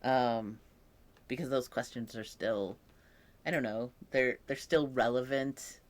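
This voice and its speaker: Young man, unhurried and reflective, voice that sometimes croaks, casual vlog talk. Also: medium pitch, fairly even intonation. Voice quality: occasionally croaky voice. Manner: slow, thoughtful, with many pauses and fillers. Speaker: vlogger. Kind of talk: casual personal update.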